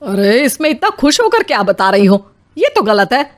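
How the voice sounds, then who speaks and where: A woman voicing a quarrelsome, taunting middle-aged Indian mother-in-law in an animated family drama. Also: on the high side, normal speed. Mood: complaining. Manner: taunting, melodramatic complaining.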